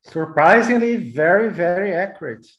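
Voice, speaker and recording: A man's voice: high voice, expressive, moderate pace, a bit bright, echoey room, highs cut off. A man whose first language is Portuguese, moderating an online workshop over a video call and politely relaying requests from the audience.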